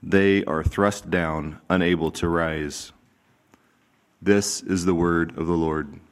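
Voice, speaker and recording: Slow, low-voiced man reading scripture. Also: fairly even intonation. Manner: measured, deliberate reading. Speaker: scripture reader.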